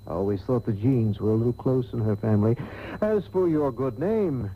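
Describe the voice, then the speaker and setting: A man's voice: medium pitch, husky. A man playing a pompous, callous rich father, genteel and blithe with hesitant hems and haws, in a satirical radio soap. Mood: dismissive, grave. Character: pompous, callous wealthy father, middle-aged or older. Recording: muffled, hissy old radio tape.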